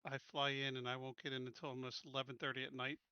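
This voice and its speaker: A man's voice: medium pitch, monotone, fast talker, sharp tone, clean tone, no echo, lo-fi sound. A man talking casually as a player during a tabletop game session.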